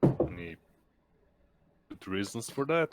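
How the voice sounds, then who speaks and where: A man with a low voice speaks very slowly as a player at a tabletop game. He is casual and hesitant, feeling his way through what he wants to do. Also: big pitch swings, very noisy.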